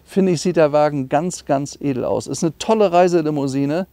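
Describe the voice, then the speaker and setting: A man's voice: fairly high pitch, normal speed, warm tone. A middle-aged man giving an informal, enthusiastic car walkaround as a classic car dealer, explaining details conversationally.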